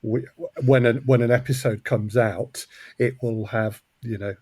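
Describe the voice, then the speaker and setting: A man's voice: medium pitch, fairly even intonation, a little slow, darkish tone, slightly rough, no echo. A man speaking as a podcast guest in a conversational interview, choosing his words thoughtfully and with some hesitation. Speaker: podcast guest, audio drama producer.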